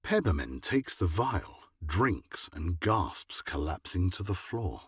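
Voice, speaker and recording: Deep, slow, husky male narrator. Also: sing-song, slight background noise. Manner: measured, dramatic. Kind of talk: scripted dramatic storytelling narration.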